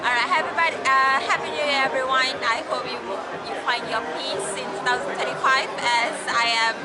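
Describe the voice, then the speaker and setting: A woman's voice: sits high, fairly even intonation, brisk, heavy background noise. A woman from Malaysia, friendly and giggly, sending new year wishes to the camera. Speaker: passer-by interviewee. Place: busy outdoor street festival at night. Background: loud crowd.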